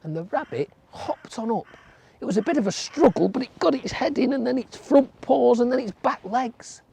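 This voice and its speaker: A storyteller narrating a folk tale for children in a gentle way, dropping to a whisper or drawing words out for effect. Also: medium pitch, expressive, moderate pace, gravelly, slightly rough.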